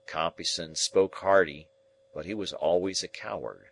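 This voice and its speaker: A man voicing a rough old male convict, gruff and plainspoken, in a rustic dialect. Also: low-pitched, moderate pace, a bit bright, heavily acted. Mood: grim storytelling.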